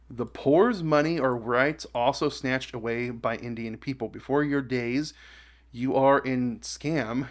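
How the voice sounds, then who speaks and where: A man hosting a casual reaction video, with a mid-pitched voice. He reads comments aloud and adds his own remarks in an offhand way, often halting and stumbling as he goes.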